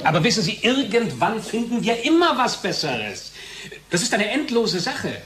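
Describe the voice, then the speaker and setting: An actor playing a pragmatic, calculating company colleague in a German radio drama, with a cajoling, conversational manner. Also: male voice, sits high, very animated, normal speed, background noise. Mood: insistent. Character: pragmatic, persuasive male pharmaceutical company colleague, smoothly calculating.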